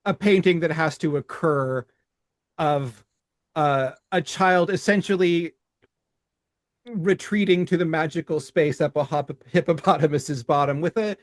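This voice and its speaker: A man with a slightly high voice reads his own verse very slowly and deliberately. He speaks with many restarts when he chats. The sound is dry and close, with no room around it. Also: no room sound. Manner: slow, deliberate, with halting restarts in chat. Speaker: podcast co-host. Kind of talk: reading his own rhyming poem aloud, then casual chat.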